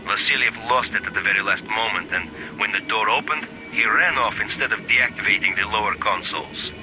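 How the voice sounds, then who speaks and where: An actor playing a weary, bitter lone stalker in a video game, brooding and grumbling to himself. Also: male voice, on the low side, a little fast, over music, close to an everyday voice, low-quality recording. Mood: bitter, resigned. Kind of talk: scripted video game monologue.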